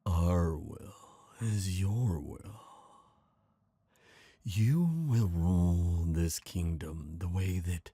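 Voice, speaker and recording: A man voicing a smooth, scheming traitor prince, gloating and seductive, in an intimate fantasy ASMR roleplay. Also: sits low, mellow, dry recording, studio quality, exaggerated voice. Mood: coaxing, commanding. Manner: slow, intimate, theatrical villain delivery.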